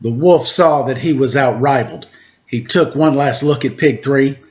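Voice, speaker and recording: A man narrating a rhyming children's storybook in an expressive reading style. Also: mid-range voice, moderate pace. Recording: slightly dull, lo-fi sound.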